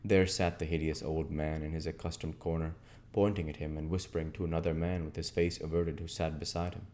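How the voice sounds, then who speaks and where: A man with a very low, mellow voice narrates classic literature. His reading is expressive, with measured and dramatic phrasing. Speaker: reader and host of an audiobook channel.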